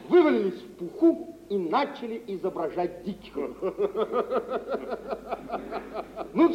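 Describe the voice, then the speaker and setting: Cocky partying rich gent, old soviet radio play, super animated, big hall reverb. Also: male voice, high voice. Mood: boastful, amused. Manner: theatrical, expansive, swaggering storytelling. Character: boisterous, self-assured gentleman shipowner, fond of carousing, unsentimental. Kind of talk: mid-century Soviet stage acting for radio. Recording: muffled, noisy 1950s radio recording, reverberant hall.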